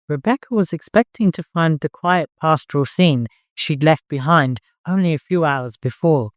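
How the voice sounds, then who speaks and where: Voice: medium pitch, dry recording. Audiobook narrator, dry close sound. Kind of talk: scripted literary narration with character dialogue.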